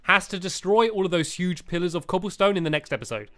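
A man's voice: high-pitched, fast. Rambling man narrating gameplay. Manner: rambling, filler-heavy, breaks into excited yelps. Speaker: gaming co-host. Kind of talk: casual gameplay chat.

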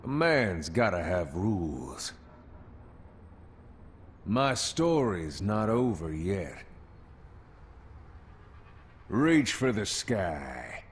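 A man voicing a cocky, gruff cowboy gunslinger in a video game, with a laconic American Southern drawl. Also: sits low, very animated, drawn-out words, pauses often, slight background noise, exaggerated voice. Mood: cocky, cool. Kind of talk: video game character voice lines.

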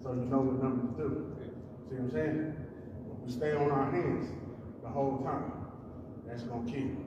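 A man's voice: medium pitch, steady tone, normal speed, lo-fi sound, background noise. A man coaching a workout partner in a casual, laid-back way, explaining each drill step by step. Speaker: fitness coach. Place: large indoor gym. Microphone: far camera. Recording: echoey gym from far off.

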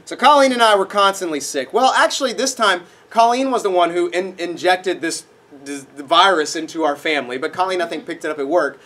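A young man preaching a sermon in a dark auditorium, a little nasal from a cold, animated and acting out voices in his stories. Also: high voice, a little fast. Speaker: pastor. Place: dark auditorium with projected screen.

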